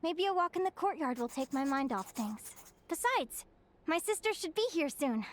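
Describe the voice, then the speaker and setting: A woman's voice: high voice, sing-song, moderate pace. A woman voicing a curious, earnest young naturalist in a video game, asking bewildered questions. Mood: wistful. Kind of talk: game voice acting. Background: game music.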